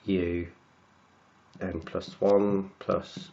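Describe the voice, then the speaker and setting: A very low-pitched man with a cold, his voice croaky and sniffly as he talks his way through equations. He stops and repeats words while he writes, thinking aloud over a dull, lo-fi recording. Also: flat delivery, extremely slow, dark tone. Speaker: maths tutor. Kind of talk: working through textbook maths aloud.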